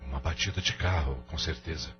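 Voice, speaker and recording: A man's voice: extremely low pitch, fairly quick, slightly rough, heavily acted. A man acting an anxious, ashamed husband in an audio drama, with a theatrical, emotional delivery. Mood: worried. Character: anxious, ashamed adulterous husband seeking help. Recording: muffled radio drama audio with music.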